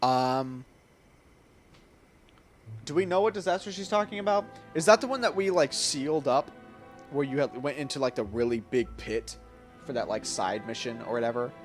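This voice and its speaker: A woman's voice: a bass voice, moderate pace, pauses often. A woman giving casual, chatty and playful commentary as she streams a video game. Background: faint game music.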